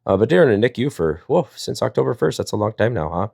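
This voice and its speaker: Rambling, animated man. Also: fairly low pitch, fast talker, creaky, slightly rough, no echo, studio quality. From Wisconsin, United States. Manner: rambling, jokey, playful. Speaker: storyteller and live panel host. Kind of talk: casual chat and storytelling.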